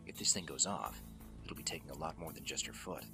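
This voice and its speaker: An actor playing a gruff, world-weary special-forces soldier with a gravelly voice and a terse, sardonic deadpan in a radio drama. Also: male voice, extremely low pitch, brisk, over music. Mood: grim, controlled. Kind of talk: dubbed video game radio drama acting.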